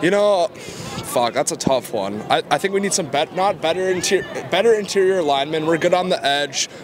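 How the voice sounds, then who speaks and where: A young man, a disappointed fan, giving glum, measured criticism in a street interview at night. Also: on the high side, animated, music in the background. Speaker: fan interviewed after a game. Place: city street at night outdoors. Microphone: handheld mic. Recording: crowd noise on a city street.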